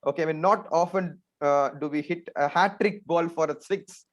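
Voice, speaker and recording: A man's voice: fairly high pitch, normal speed. A man with an Indian accent hosting a casual sports chat, conversational, often tossing tag questions to his co-panelists. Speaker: host of a cricket discussion show. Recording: dull, lo-fi band-limited sound.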